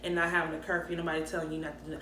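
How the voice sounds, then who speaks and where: A woman's voice: low voice, little pitch movement, pushed and bright. A woman in her thirties co-hosting a candid casual chat, talking in an emphatic, conversational way. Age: 30s. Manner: emphatic, conversational, quick back-and-forth. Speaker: co-host. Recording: echoey room with faint ambient noise.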